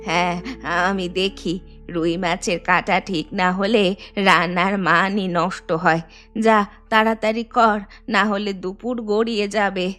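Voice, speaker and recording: A woman's voice: medium pitch, steady tone, faint music behind. A woman voicing an elderly Bengali mother-in-law in a cartoon, firm and traditional, fondly chiding and instructing. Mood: fondly fussing. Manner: unhurried, instructive, gently chiding. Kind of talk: animated moral story voice acting.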